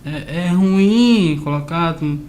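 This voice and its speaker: A man's voice: fairly high pitch, slow pace, darkish tone. A man telling personal stories casually as an interview guest, often checking that the listener follows. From grew up between southern Brazil and Argentina. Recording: clear background noise.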